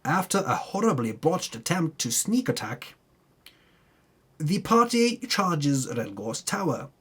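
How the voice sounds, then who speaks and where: A man's voice: fairly high pitch, big pitch swings, takes it slowly, slightly rough. A man giving a dramatic, tongue-in-cheek reading of a fantasy game recap, breaking off into muttered asides. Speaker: narrator recapping a tabletop game campaign.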